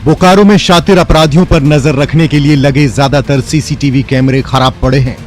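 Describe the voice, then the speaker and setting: A man's voice: fairly high pitch, steady tone, brisk, over music. A man reading scripted regional news stories as a voice-over presenter.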